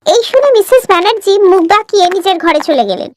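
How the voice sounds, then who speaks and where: A woman narrates a cartoon tale in a very high voice. Her delivery swings widely in pitch, and the sound is dry and close. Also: animated, fast talker, dry recording. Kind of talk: scripted cartoon story narration.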